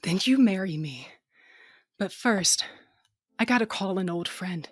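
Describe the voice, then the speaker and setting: A woman's voice: mid-range voice, lively intonation, measured pace, dry recording. A woman playing a tough but affectionate, wounded ship captain in a first-person audio drama. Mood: strained, resolute.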